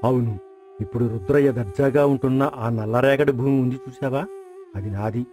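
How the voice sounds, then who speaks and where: A man's voice: mid-range voice, a little fast, warm tone, faint music behind, natural voice. A man voicing an embittered, shrewd and weary old grandfather in an acted village story. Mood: weary, bitter. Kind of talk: acted moral story dialogue.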